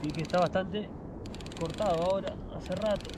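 A man's voice: high-pitched, normal speed. A young man, enthusiastically narrating his fishing trip from a kayak on the sea and repeating his exclamations over each catch. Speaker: fishing vlogger. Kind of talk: casual outdoor vlog commentary. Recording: loud wind and water noise. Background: sea and wind.

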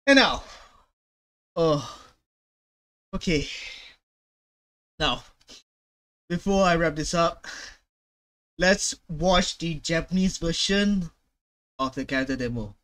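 A man's voice: fairly high pitch, slow pace, pauses often, a bit bright. A young man giving casual, emphatic and slangy reaction commentary as a video host. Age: young adult. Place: small room at home.

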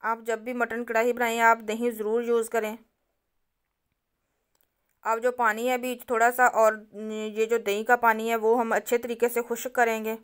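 A woman, narrating a home cooking recipe step by step and speaking directly to her viewers in a calm, instructive way. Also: a little high, normal speed, clean tone. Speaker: cooking channel host.

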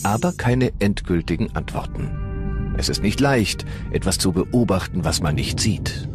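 A man narrating a science documentary in a measured, solemn way over music. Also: sits low, animated. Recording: voice-over with loud music behind.

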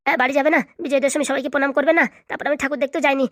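A woman's voice: sits high, level tone, very fast, a bit bright, no echo, studio quality. A woman voicing a meek young husband who pleads hesitantly in a comic cartoon. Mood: pleading. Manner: hesitant, placating, stammering. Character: meek, pleading young student husband, henpecked son-in-law. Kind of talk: comic cartoon drama dialogue.

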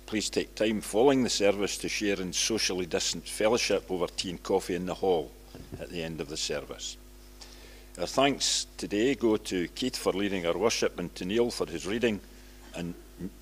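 An older man in his seventies with a Scottish accent, reading church notices formally and a little haltingly at a lectern microphone. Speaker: church announcer. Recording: low hum and background noise.

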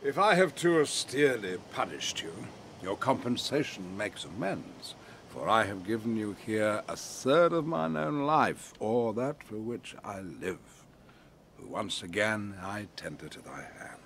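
A man playing a deep, commanding sorcerer father. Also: big pitch swings, a little slow, a bit bright, slight background noise, full character voice. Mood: solemn, warning. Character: commanding older male sorcerer, a stern, doting father. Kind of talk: Shakespearean verse acting in an audio play.

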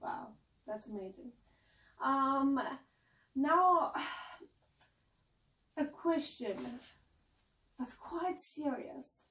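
A woman's voice: high voice, expressive, extremely slow, long pauses, a bit bright. A woman in her twenties interviewing a guest in a casual, bubbly way, with frequent ums and restarts. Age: 20s. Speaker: announcer and interviewer. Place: indoor lounge set, seated on couch. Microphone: far camera mic. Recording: roomy echo, distant mic.

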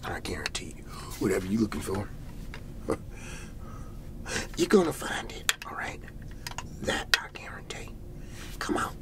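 Low-pitched folksy salesman acted by a man, whispery, breathy, rambling hard sell, ASMR comedy roleplay. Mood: coaxing. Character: folksy, excitable male garage-sale salesman. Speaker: host playing a character.

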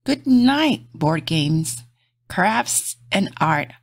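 A woman reading aloud to young children as a storybook host, in a gentle, encouraging, sing-song manner. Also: on the low side, sing-song, drawn-out words, husky, no room sound, studio quality.

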